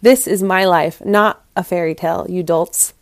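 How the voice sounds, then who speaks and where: A woman's voice: fairly low pitch, steady tone, normal speed, no room sound, studio quality, natural voice. A woman playing a bold, questioning young maiden in a storybook tale. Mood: defiant. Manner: defiant, inquisitive.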